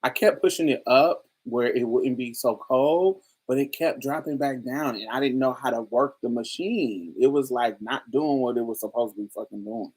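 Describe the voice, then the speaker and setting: A woman hosting a casual chat, telling stories in a lively way with frequent laughter and free swearing. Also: very deep voice, normal speed, hardly any pauses, husky, low-quality recording. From New Orleans, USA. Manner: animated storytelling, frequent laughter, swears freely. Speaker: host. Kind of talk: casual livestream chat with viewers.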